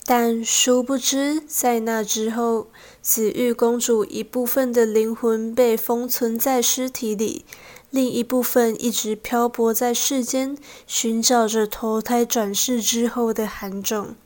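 A woman's voice: fairly high pitch, level tone, takes it slowly, darkish tone. A young woman reading scripted narration for a student radio drama. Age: young adult.

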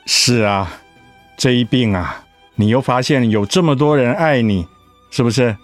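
An actor playing a devoted, teasing middle-aged husband in a radio drama, with a Taiwanese accent and a coaxing way of talking that easily gets flustered. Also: male voice, mid-range voice, a little slow, faint music behind, close to an everyday voice. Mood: reflective, tender. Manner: coaxing, stammering when cornered.

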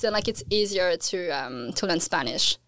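Smooth-voiced woman from France, relaxed. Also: on the low side, moderate pace, dry recording. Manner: relaxed, many fillers like "like" and "uh". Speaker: podcast guest. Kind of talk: casual interview chat.

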